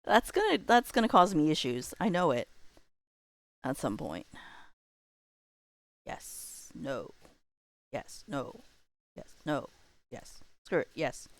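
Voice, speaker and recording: A woman livestreamer chatting casually with her viewers in a playful, expressive way. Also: low-pitched, slow, long pauses, dry recording.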